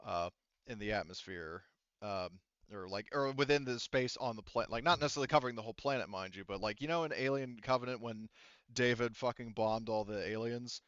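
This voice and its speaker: A man works through game master explanations in a casual, halting ramble with the odd swear word. His voice is medium in pitch and smooth. The sound is dry and slightly muffled, as from a lo-fi mic. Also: a bit bright, no room sound. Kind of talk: casual improvised explanation of tabletop game rules and lore.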